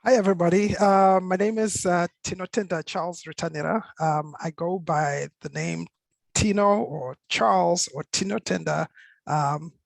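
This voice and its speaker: Higher-pitched man, gravelly, even intonation. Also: moderate pace, no room sound. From Zimbabwe and South Africa. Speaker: panelist. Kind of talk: personal introduction in a panel discussion.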